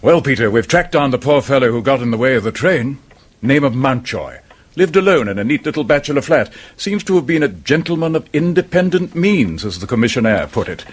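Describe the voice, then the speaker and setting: An actor playing a steady, businesslike English police detective in a radio drama, matter-of-fact and clipped. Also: male voice, medium pitch, a little fast, slightly rough. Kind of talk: British radio drama acting.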